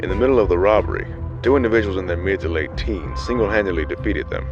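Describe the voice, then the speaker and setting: Man acting low-voiced, formal field agent. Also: animated, fast talker, highs cut off, music in the background. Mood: formal, dutiful. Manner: formal, deferential. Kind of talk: audio drama acting.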